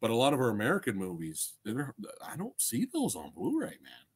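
Animated middle-aged man chatting on a livestream. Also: medium pitch, brisk, breathy edge. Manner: animated, slangy, enthusiastic, swearing. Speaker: livestream host and film reviewer. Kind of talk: casual livestream chat with viewers. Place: small indoor room crammed with collectibles. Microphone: desk microphone close in front.

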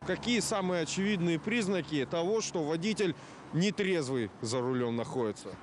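A man reports from a city street at night, in a fairly high voice and a lightly joking tone. Traffic noise fills the background clearly. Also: lively intonation, moderate pace. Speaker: news reporter. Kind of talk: field reporting and interview questions.

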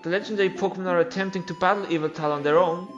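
A high-voiced man, not a native English speaker, acts out the lines of a video game story. His delivery is theatrical and a little halting, and music plays clearly behind him. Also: steady tone, highs cut off. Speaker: game playthrough creator voicing all characters. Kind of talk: acted read-aloud of video game dialogue.

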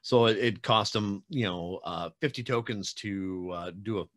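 A man in his forties with a lowish voice hosts a relaxed interview over a webcam call from his home room. He talks in a chatty, rambling way, full of fillers and light teasing. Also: moderate pace. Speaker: podcast host. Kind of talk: casual video-call interview. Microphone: webcam video call.

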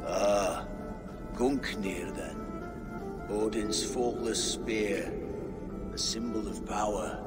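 An actor playing a grave, stern old blind hermit in a video game, speaking in a solemn, cryptic, deliberate way. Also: male voice, lowish voice. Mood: ritual, solemn. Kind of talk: dramatic video game acting. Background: music.

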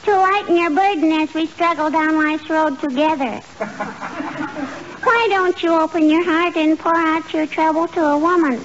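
A woman's voice: very high-pitched, fairly even intonation, normal speed. A woman playing a pert, needling little girl in a 1940s radio comedy, heard on a muffled old broadcast with hiss. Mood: mock-sentimental. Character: sassy bratty little girl neighbor.

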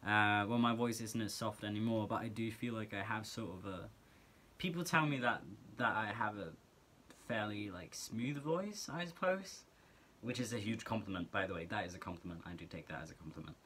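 A man's voice: on the low side, expressive, a little fast, fairly bright tone, slight background noise. A teenage guy from Norway with a Norwegian accent, talking earnestly and casually to camera as a vlogger, full of filler words, in a small bedroom. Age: teens.

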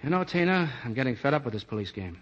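A man's voice: mid-range voice, big pitch swings, fast, slight background noise, lightly acted. An actor playing a weary, plain-spoken American policeman, awkwardly smitten, in a 1940s radio drama. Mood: wistful, smitten. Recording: dull old 1940s radio recording.

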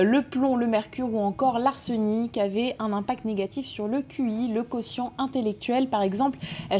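A woman in her twenties presenting a press review in a TV news studio, explaining clearly with a few hesitations. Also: fairly high pitch, darkish tone, a little hiss or hum. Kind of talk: TV news segment.

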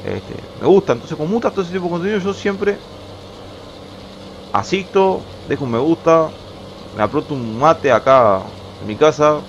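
A man's voice: a little high, animated, normal speed. A young man from Uruguay with a Uruguayan Spanish accent, chatting casually and rambling as he plays a truck-driving game at home. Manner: chatty, rambling, restarts words often. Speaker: gaming YouTuber. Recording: slightly dull home recording with faint game noise.

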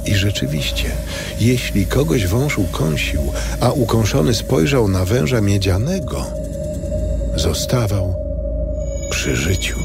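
A male narrator tells scripture solemnly in a fairly low, gravelly voice. He moves slowly, with wide intonation over music. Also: big pitch swings.

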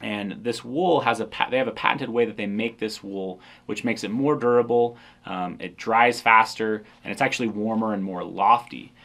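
A man in his thirties, a company founder, casually walking through a product on an indoor studio set, relaxed and explanatory with frequent ums. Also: on the low side, normal speed, few pauses.

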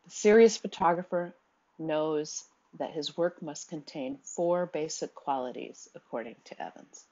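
A woman giving a recorded slide lecture in a measured, steady way, with the odd um. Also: low-pitched, muffled recording. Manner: measured, reads quotes aloud, occasional ums.

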